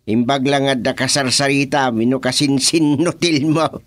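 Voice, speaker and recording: A man with an Ilocano Filipino accent playing a gruff, easily exasperated village chairman in a radio comedy drama, with grumbles and sighs. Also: medium pitch, steady tone, normal speed, dry recording, natural voice. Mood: businesslike.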